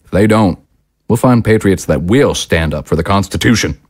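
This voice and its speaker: An actor playing a fiery right-wing political commentator, delivering an indignant, emphatic broadcast monologue with dramatic pauses. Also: male voice, low-pitched, animated, husky. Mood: indignant, urgent.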